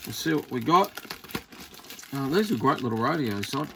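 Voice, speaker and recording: Casting: Australian male hobbyist, creaky mid-pitched voice, informal off-the-cuff unboxing chat over faint background noise. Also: animated, moderate pace. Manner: rambling, informal, thinking aloud with mild swearing. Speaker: hobbyist radio reviewer. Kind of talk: casual unboxing and test commentary.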